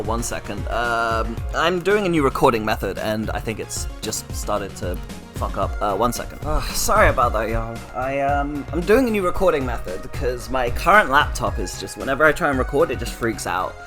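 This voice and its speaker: Hoarse woman gamer, quiet asides. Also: very deep voice, very animated, brisk, music in the background. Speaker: let's player and voice actor. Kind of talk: casual aside to viewers. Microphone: desktop mic at a home computer.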